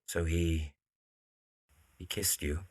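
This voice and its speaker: A man's voice: deep voice, steady tone, takes it slowly, darkish tone. A man playing an earnest, lovestruck young architect in a period audio drama. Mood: hurt, probing.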